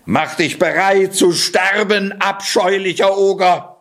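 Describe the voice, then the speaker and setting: A man's voice: fairly high pitch, very animated, slow, pitched above the performer's own voice. A man voicing a cunning, daring cat in boots from a fairy tale, with a theatrical, proclaiming manner. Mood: bold, challenging.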